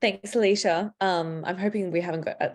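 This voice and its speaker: Woman host on a video call, really low voice, nudging people to speak up. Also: steady tone, fairly quick. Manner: encouraging, prompting others. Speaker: workshop host. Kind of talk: casual chat on a video call.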